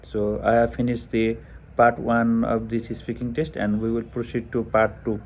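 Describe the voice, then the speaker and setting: A man's voice: medium pitch, little pitch movement, normal speed, a little mellow, slight background noise. A young man from Nepal with a Nepali accent, answering speaking exam practice questions hesitantly with many fillers and restarts, recorded on a Bluetooth headphone mic. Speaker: test taker. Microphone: headphone mic over Bluetooth to phone.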